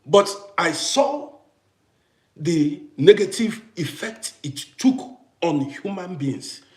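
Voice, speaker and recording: A man's voice: sits high, sing-song, takes it slowly, reverberant. A middle-aged man from Congo hosting a casual live stream, talking emphatically, repeating himself and greeting viewers by name. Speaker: TikTok live host.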